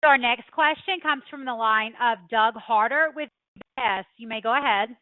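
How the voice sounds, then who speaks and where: High-voiced woman operator on phone line. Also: normal speed, no room sound. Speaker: conference call operator. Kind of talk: scripted call announcements. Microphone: telephone. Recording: dry, tinny phone line.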